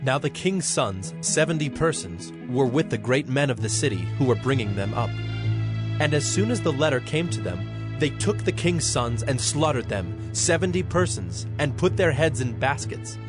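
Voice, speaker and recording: Measured male Bible narrator over music. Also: medium pitch, animated. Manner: measured, formal. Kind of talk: dramatized scripture narration. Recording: background music under the voice.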